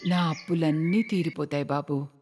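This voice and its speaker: A woman's voice: deep voice, fairly even intonation, normal speed, a little mellow, natural voice. A woman playing an emotional, devout and forgiving mother in a cartoon moral story. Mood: grateful, happy. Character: Karuna, emotional, devout, forgiving mother who sells momos. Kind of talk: dramatic cartoon story dialogue. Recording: reverberant hall echo, clear background noise.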